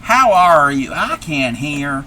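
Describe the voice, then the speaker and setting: A man doing a doddering old grandmother who talks slowly and fusses over gadgets she can't work. Her voice sits a little high and bright, and she peppers her talk with old-fashioned exclamations. There is a slight hum in the background. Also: big pitch swings, heavily acted. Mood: befuddled. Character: old grandma, confused by technology, folksy. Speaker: streamer. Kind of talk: live stream character play.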